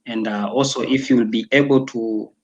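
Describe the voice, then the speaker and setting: Man, young adult, from Tanzania, casual interview talk, hesitant, many fillers, medium pitch. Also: steady tone, brisk, highs cut off, a little hiss or hum. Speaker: interview guest.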